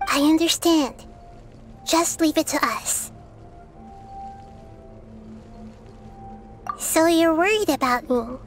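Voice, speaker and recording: A woman playing a kind, wise, childlike girl in a video game, explaining things in a calm, measured way. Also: high-pitched. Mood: reassuring. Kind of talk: video game character acting. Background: faint game music.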